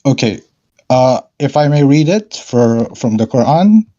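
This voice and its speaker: Man caller, hesitant, lots of fillers, throat clearing, muffled lo-fi sound. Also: medium pitch, sing-song, a little slow, warm tone. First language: not Arabic. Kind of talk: casual debate call-in.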